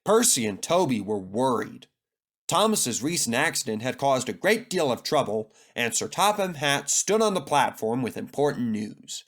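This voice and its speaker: A man's voice: mid-range voice, very animated, moderate pace, fairly bright tone. A man narrating a scripted children's story as the storyteller.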